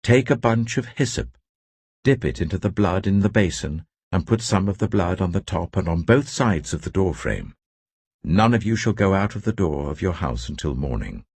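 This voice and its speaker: A man giving a scripted, solemn and deliberate reading of scripture as a narrator, with emphatic phrasing. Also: sits low, sing-song, darkish tone, slightly rough, no echo. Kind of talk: scripted audio Bible reading.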